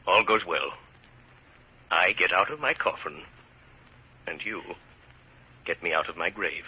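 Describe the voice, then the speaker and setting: Husky, intense fugitive. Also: male voice, deep voice, measured pace, pushed and bright, full character voice. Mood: grave, resolute. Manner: terse, urgent, decisive. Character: resolute, grave fugitive man in hiding. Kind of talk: 1930s-40s radio drama acting. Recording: muffled vintage radio broadcast recording.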